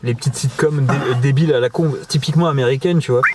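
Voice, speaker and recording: A man co-hosting a casual film review chat, animated and jokey, sometimes putting on quoted voices. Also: mid-range voice, quick pace. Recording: crowd noise behind.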